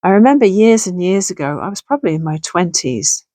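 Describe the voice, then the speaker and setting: A middle-aged woman with a gravelly, hoarse voice, chatting casually and thinking aloud as she makes something at home. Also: fairly low pitch, animated, fairly quick, mellow, no echo, studio quality. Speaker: crafting channel host. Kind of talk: casual crafting tutorial chat. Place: home sewing room.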